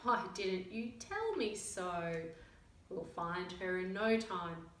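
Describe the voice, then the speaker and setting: A woman's voice: fairly low pitch, animated, slow, a bit bright, pitched below the performer's own voice. A woman voicing a helpful, muddled butterfly in a children's storybook, confident and encouraging. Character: eager, helpful, muddled butterfly guiding a monkey. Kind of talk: children's picture book read-aloud. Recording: roomy, distant mic, faint noise.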